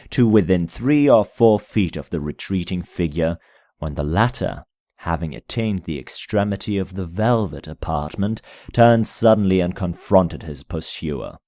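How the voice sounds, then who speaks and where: A man narrating a gothic tale as a dramatic reading, in a solemn, measured manner with deliberate phrasing. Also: sits low, a little mellow, no echo, clean studio sound. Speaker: amateur audiobook narrator.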